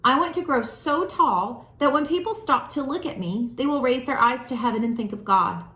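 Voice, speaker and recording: A woman's voice: high voice, animated, moderate pace, sharp tone. A woman voicing a devout young female tree in a storybook, earnest and resolute. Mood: resolute, aspiring. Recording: roomy, echoing room sound.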